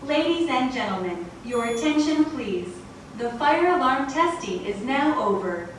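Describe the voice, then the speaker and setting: A woman reading a formal, measured, scripted public address announcement, played through an intercom speaker. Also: high-pitched, animated. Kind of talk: scripted pre-recorded announcement. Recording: very echoey intercom playback, distant, faint noise.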